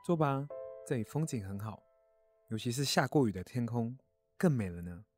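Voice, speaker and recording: A man voicing a kindly grown-up mole in a children's audio story, gentle, caring and reassuring. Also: medium pitch, expressive, normal speed, faint music behind, lightly acted. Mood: relaxed.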